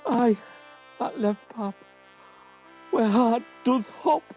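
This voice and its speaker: A woman's voice: fairly high pitch, slow pace, mellow. A woman acting a bombastic amateur tragedian as a dying lover in a Shakespeare radio play. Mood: mock anguish. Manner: bombastic, overblown declaiming. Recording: dull recording with brass music.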